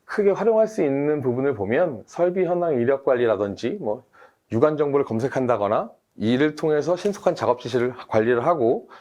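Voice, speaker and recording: A man speaks in a mid-range voice, a team leader laying out his points methodically for a webinar audience. He is steady and explanatory, and he often pauses on small fillers as he works through each idea. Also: medium pitch. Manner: measured, methodical, with frequent fillers. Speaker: team leader, industry expert guest.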